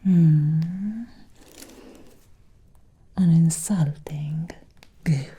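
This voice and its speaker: A woman playing an ancient, possessive female vampire in an ASMR roleplay, speaking in a breathy, seductive whisper with lingering, deliberate pauses. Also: low voice, mellow, heavily acted. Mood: possessive, haughty.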